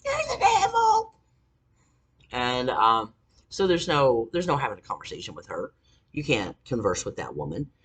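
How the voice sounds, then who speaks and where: A man in his forties telling a personal story to camera, halting with fillers and angry swearing. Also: medium pitch, animated, bright, forceful tone, slightly rough. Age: 40s. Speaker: vlogger. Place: indoors, dim room.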